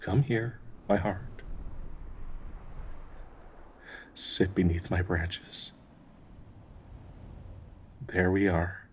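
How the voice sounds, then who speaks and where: A man playing a gentle, devoted dryad tree spirit in an intimate audio roleplay, anxiously hopeful, halting and stammering tenderly with nervous soft laughs in a breathy voice. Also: sits low, slight background noise. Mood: tender, coaxing.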